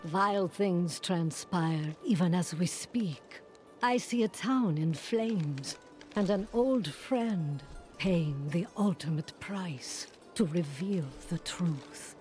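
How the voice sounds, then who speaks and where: Wise motherly fortune teller in a game, lowish voice, faint music. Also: slow pace, darkish tone. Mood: ominous. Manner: portentous, knowing. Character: knowing, motherly mystic seer woman, gently teasing. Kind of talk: fantasy video game acting.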